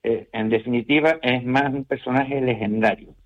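A man speaks at a middle pitch in a measured, reflective way, pausing with small hesitations and tag questions. He is a novelist being interviewed. The sound is slightly muffled, like a radio broadcast. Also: fairly even intonation. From Canary Islands.

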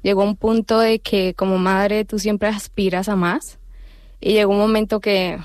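A nervous woman in her thirties with a Cali, Colombian accent, speaking as a radio guest in an earnest, halting way. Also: medium pitch, flat delivery, a little mellow, no room sound, clean studio sound. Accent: Colombian Spanish, Cali.

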